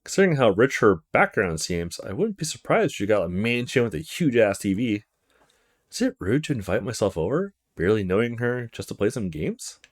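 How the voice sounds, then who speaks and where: A man playing a reserved, polite young student in a visual novel, with a hesitant, thoughtful manner. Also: lowish voice. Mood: hesitant musing. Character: reserved young male student, wary, polite, inwardly musing.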